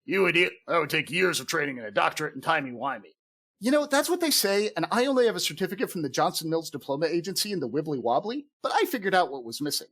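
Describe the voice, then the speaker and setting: A man's voice: on the high side, sing-song, fast talker, fairly bright tone, a little hiss or hum. A man playing a bumbling, obsessive head gnome who rambles eagerly in a comedic fantasy audio drama. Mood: eager, confessional.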